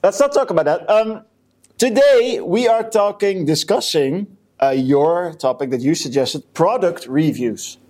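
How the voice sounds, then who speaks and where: A young man co-hosting a casual chat podcast, halting and full of fillers and restarts. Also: high voice, expressive, darkish tone. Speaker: podcast co-host.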